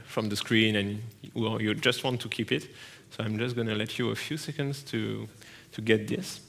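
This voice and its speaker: A man with a French accent giving a technical talk at a conference, halting often with fillers and restarts. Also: mid-range voice, gravelly, fairly bright tone. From France. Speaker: conference speaker. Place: conference hall.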